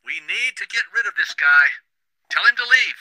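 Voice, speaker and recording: A man's voice: high-pitched, animated, moderate pace, pushed and bright, heavily acted, poor audio. An actor playing a jumpy, bossy, impatient radio station man in a game radio drama, urgent and commanding. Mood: alarmed, impatient.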